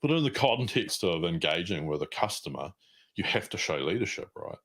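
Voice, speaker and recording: A man's voice: sits low, sing-song, brisk, fairly bright tone. A man from New Zealand with a New Zealand accent, hosting a podcast interview in a folksy, rambling way, full of uhs. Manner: folksy, colloquial, uh-filled rambling. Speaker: podcast host. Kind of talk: casual interview talk.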